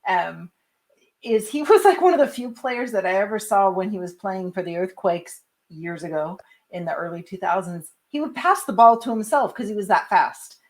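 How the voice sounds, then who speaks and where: A woman's voice: medium pitch, expressive, moderate pace. Chatty woman podcast interviewer. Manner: chatty, familiar, wandering into long questions. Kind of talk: casual conversational interviewing.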